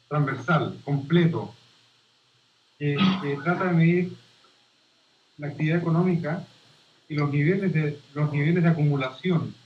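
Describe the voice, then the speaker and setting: A man from Chile with a Chilean accent, giving a guest lecture on statistics over a video call in a halting, informal way and often restarting his phrases. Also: fairly high pitch. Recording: roomy, dull video-call audio.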